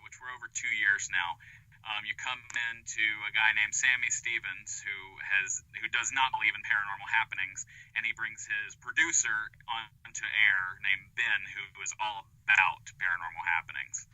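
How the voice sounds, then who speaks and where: A man's voice: on the low side, fairly even intonation, normal speed, sharp tone, dry recording, low-quality recording. A man chatting casually and colloquially as an interview guest over an internet call. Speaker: interview guest, podcast creator.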